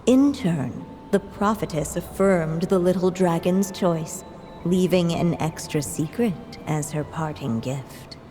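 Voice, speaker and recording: Slow, mellow fairy-tale storyteller. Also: female voice, lowish voice. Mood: calm, solemn. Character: calm solemn female fairy-tale storyteller. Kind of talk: scripted storybook narration. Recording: long reverb, music behind.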